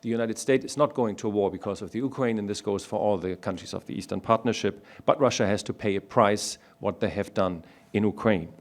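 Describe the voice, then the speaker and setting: A man from Germany, speaking as a panelist in a public discussion and making his points one by one in a measured way. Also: fairly low pitch.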